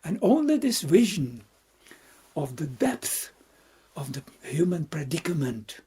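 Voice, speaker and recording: A Buddhist monk from the Czech Republic, speaking with a Czech accent in a reflective dharma talk, halting and searching for words with frequent soft laughs. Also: male voice, a little high, very animated, husky.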